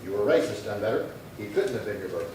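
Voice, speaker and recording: A man playing a businesslike, deadpan police detective, delivering clipped dialogue and case narration in a 1950s-style radio crime drama. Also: fairly low pitch, fast, lightly acted. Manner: clipped, deadpan, matter-of-fact. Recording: very echoey room, distant mic, faint noise.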